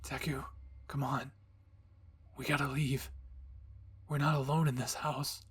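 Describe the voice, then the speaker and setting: A man's voice: mid-range voice, level tone, a little slow, fairly bright tone, slightly rough. A man doing a scared teenage boy whispering. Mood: desperate whisper. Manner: urgent whispering. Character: frightened teenage boy, hot-tempered but scared, desperate.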